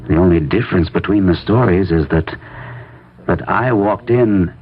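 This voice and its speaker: Deep-voiced, troubled radio-drama captain. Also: male voice. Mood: uneasy. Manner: halting, grave, earnest. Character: troubled, earnest army captain back from overseas. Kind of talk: old-time radio drama acting. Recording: noisy, narrow-band vintage radio recording.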